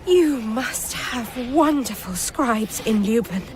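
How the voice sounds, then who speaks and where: Young poetry pupil, very high pitch, curious, earnest, wondering, radio drama, slight background noise. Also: female voice, animated, takes it slowly.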